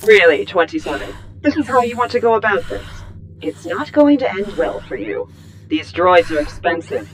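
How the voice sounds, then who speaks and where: Casting: menacing female scientist captor played by a woman, mid-pitched, condescending zealot, faint noise, sci-fi audio drama. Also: big pitch swings, moderate pace, natural voice, low-quality recording. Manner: condescending, threatening, preachy.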